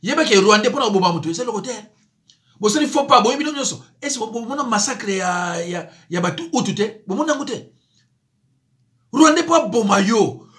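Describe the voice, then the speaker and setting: A pastor speaks to his followers in a high voice, pressing them with urgent rhetorical questions. His tone is bright and forceful. This is pleading, impassioned commentary. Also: expressive, a little fast.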